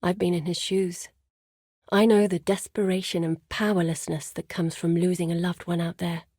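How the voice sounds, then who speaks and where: An actress playing an earnest, burdened woman explorer in a fantasy video game, speaking in a measured, articulate way. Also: medium pitch, darkish tone, no room sound, studio quality, close to an everyday voice. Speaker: video game character voice actor. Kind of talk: dramatic fantasy game dialogue.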